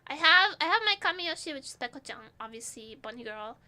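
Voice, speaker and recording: A woman's voice: high-pitched, expressive, fast, pushed and bright. A woman from Portugal, chatting casually with her live chat as a streamer, giggly and rambling.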